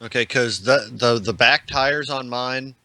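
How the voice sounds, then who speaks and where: A man chatting casually as a podcast panelist, in short, halting phrases. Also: medium pitch, bright, forceful tone, dry recording. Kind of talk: casual hobby chat.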